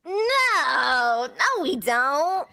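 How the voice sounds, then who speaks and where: A woman's voice: high-pitched, big pitch swings, drawn-out words, creaky, sharp tone, heavily acted. A woman playing a timid, anxious child sidekick in a vintage-style radio drama. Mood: worried. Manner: hesitant, anxious.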